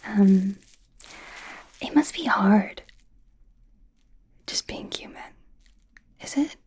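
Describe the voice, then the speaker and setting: Casting: woman as young female werewolf med student for whispered ASMR roleplay; very breathy, mellow, awkward, eager and kind. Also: medium pitch, lively intonation, very slow, heavily acted. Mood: awkward, curious. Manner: hesitant, rambling, self-conscious overthinker, gentle.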